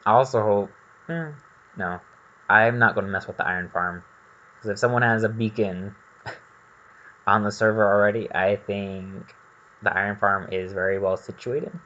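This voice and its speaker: A man's voice: sits low, bright, forceful tone, highs cut off. A man doing casual gameplay commentary, rambling and thinking aloud as he goes. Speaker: gaming video host.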